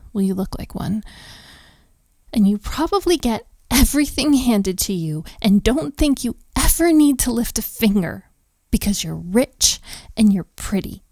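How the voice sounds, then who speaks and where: An overworked, sharp-tongued hotel maid played by a woman in an intimate romantic audio drama. Her voice sits a little high and she speaks slowly, with exasperated sighs and defensive sarcasm. The sound is dry, with no room around it. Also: expressive, dry recording. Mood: skeptical, grudging. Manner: exasperated, sighing, sarcastic, conversational.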